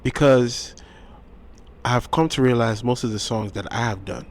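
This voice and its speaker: A man who is a guest musician, chatting casually in an interview, animated and repeating phrases for emphasis. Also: mid-range voice, normal speed. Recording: faint background noise.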